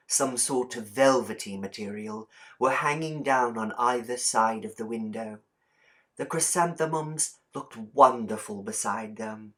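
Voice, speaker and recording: A man narrates a piece of fiction for an audiobook. His voice sits at a middle pitch. He reads from the text in an expressive, deliberate storyteller's manner, shaping the phrases as he goes. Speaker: audiobook reader.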